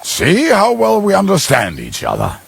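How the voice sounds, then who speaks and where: An actor voicing a boozy, cheeky middle-aged ruffian in a video game, with a gravelly, husky voice and a rambling manner. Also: male voice, sits high, wildly swooping pitch, normal speed, a little hiss or hum, exaggerated voice. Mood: cheeky, excited. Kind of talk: video game character acting.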